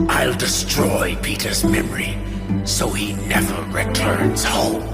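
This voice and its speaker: An actor playing a sinister, scheming sorcerer patriarch in a video game, with a calm, deliberate, ominous delivery. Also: male voice, fairly low pitch, gravelly, reverberant. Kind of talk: video game villain monologue.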